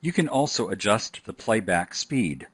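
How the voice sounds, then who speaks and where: Low-voiced, slightly husky man narrating a tutorial. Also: lively intonation, unhurried, fairly bright tone, no echo. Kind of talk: scripted instructional narration.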